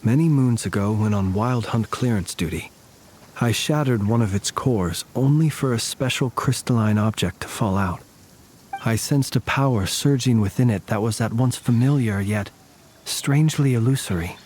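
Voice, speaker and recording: A man's voice: medium pitch, darkish tone, a little hiss or hum, close to an everyday voice. An actor playing a weary, courtly young man who speaks in formal, archaic and elaborately polite phrases, in video game cutscene dialogue.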